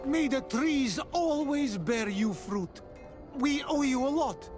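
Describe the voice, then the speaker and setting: Slow, ceremonious islander trader. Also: female voice, high-pitched, animated, over music. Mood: warm, grateful. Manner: ceremonious, deliberate. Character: warm, grateful islander village trader in fantasy game. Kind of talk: fantasy game dialogue.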